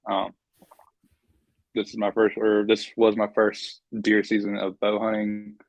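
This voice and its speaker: A man's voice: on the low side, monotone, measured pace, creaky, no echo, poor audio. A young man from North Carolina, a guest chatting casually and rambling with many ums. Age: young adult. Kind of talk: casual interview chat.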